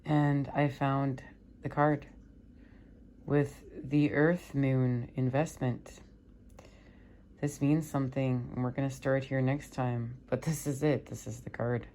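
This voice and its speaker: A woman giving a spiritual tarot reading, her voice worn and fading, speaking in slow, soothing, repetitive phrases. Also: very deep voice, level tone, warm tone, slight background noise. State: losing her voice. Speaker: tarot reader.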